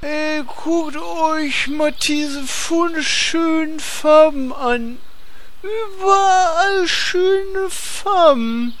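A woman's voice: sits high, drawn-out words, a little hiss or hum. A woman playing a gullible, vain, dim-witted male hero with a blunt, naive manner in a parody fantasy audio drama. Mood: dazed wonder.

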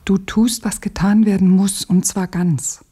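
A woman acting a composed, grave revolutionary in a radio play, hushed and measured, with a breathy, whispery voice. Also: medium pitch, steady tone. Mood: calm, grave.

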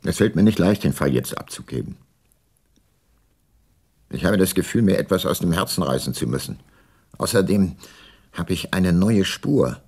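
An actor playing a brooding, probing private detective, narrating his case in a measured way in a radio crime drama. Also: low voice, animated, darkish tone, breathy edge, no room sound, clean studio sound. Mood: reluctant.

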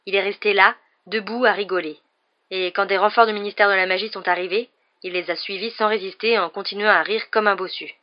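A woman's voice: mid-range voice, steady tone, a little fast, lightly acted. A smug young male bus conductor from a storybook, as played by a woman narrator. He is chatty and dramatic, forever fishing for agreement with little tag questions. The sound is slightly muffled. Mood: dramatic, gossipy.

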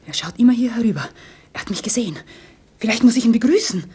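An actress in a German radio play playing a fearful, watchful neighbour woman, husky and often close to a whisper. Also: on the high side, lively intonation, quick pace, dark tone. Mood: anxious, hushed.

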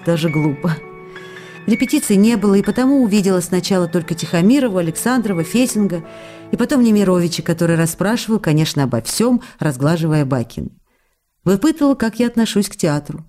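An actress playing a young newlywed woman in a radio play, reading out her letters with affectionate, animated longing for her husband. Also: on the low side, lightly acted. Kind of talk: dramatic reading of intimate letters.

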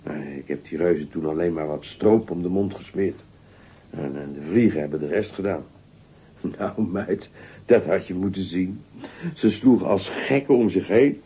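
A man's voice: deep voice, normal speed, warm tone, husky, exaggerated voice. An actor playing a sleepy, lazy, boastful folk-tale man in a radio play, drowsy and unbothered. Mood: drowsy boasting. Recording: dull, noisy old radio recording.

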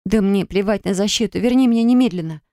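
A woman's voice: mid-range voice, quick pace, mellow, no echo, clean studio sound, lightly acted. A woman voicing a distraught young female student who pleads in a raised, tearful voice in an audiobook. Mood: angry. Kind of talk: audiobook character voice.

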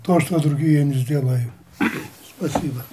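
A man speaking in heartfelt short phrases, pausing between them for an interpreter. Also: a little high, very noisy. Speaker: interviewee, local acquaintance. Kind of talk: heartfelt conversational praise.

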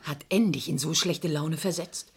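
A sceptical, inquisitive office assistant in a radio crime play. Her voice is very husky and deep for a woman, her manner dryly persistent, questioning and slightly hesitant. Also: normal speed, full character voice. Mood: curious, probing. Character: inquisitive, gently sceptical female office assistant, worried about her brother. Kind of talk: German radio crime drama acting.

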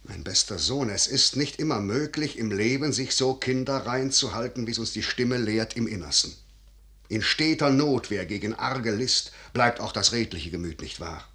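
Male role: grave older general and father, low, forceful voice, declamatory classical radio-play delivery, calculating and solemn. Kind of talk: 1950s German radio play, classical verse acting.

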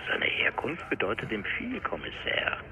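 An actor playing an erudite middle-aged police officer in a radio crime drama, gravelly and lecturing in a pedantic way. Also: male voice, medium pitch, huge pitch swings, moderate pace, background noise. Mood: informative, measured.

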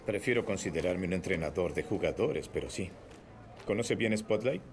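A man's voice: low-pitched, animated, normal speed, slight background noise. A man dubbing a friendly, easygoing investigative team editor in a Spanish film dub.

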